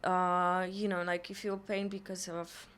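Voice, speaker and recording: A woman's voice: lowish voice, little pitch movement, a little slow, fairly bright tone, clear, resonant tone. A woman from Poland, a guest on a podcast, speaking in a reflective, chatty way with many fillers. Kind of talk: casual conversation.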